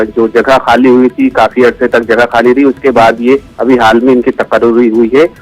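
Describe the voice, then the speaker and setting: A man's voice: mid-range voice, dry recording, poor audio. A man with a North Indian accent giving a live news report, explaining step by step. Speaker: news correspondent.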